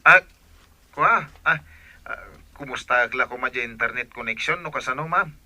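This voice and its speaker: A man's voice: mid-range voice, moderate pace, pushed and bright, no room sound. An actor in an Ilocano radio drama playing a shy, polite internet repairman who speaks hesitantly and awkwardly with an Ilocano accent. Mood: shy, flustered.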